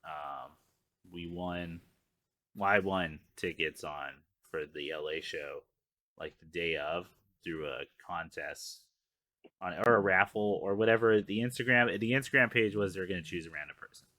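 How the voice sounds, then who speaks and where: A man's voice: deep voice, moderate pace, pushed and bright, dry recording. A tired man co-hosting a podcast, in casual chat, rambling and joking crudely with lots of laughs. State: tired, low voice. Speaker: podcast co-host.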